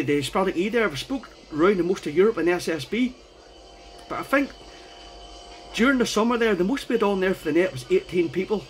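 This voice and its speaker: A man with a notably high voice talks as a radio ham in a loose, chatty style. Faint background noise sits behind his informal, conversational delivery. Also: rough recording. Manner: chatty, informal, repeats "you know what I mean". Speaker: amateur radio operator. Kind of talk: casual radio contact chat.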